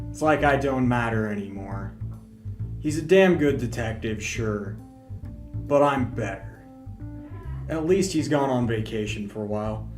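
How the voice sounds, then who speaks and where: A man's voice: mid-range voice, darkish tone, natural voice. A man playing an eager, self-pitying small-town police detective who talks to himself in an amateur noir radio drama. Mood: brooding, wistful. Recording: roomy echo, music behind.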